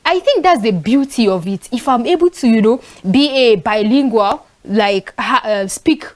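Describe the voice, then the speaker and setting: Animated young Nigerian woman. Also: a little high, moderate pace. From northern Nigeria. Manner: animated storytelling with frequent fillers. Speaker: talk show panelist. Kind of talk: casual panel discussion.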